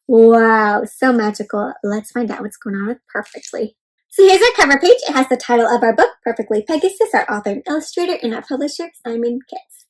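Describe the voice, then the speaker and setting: Female children's read-aloud host: high register, lively swooping intonation, questions and exclamations aimed at young listeners. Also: a little fast. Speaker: host reading a picture book aloud to children.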